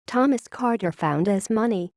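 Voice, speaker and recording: Mellow, close-miked woman playing a grave scientist confessing. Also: medium pitch, animated, normal speed, no room sound, natural voice. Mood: reflective, grave. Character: male founder scientist confessing his secret organization's history, wistful and grave. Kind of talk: scripted monologue, audio log narration.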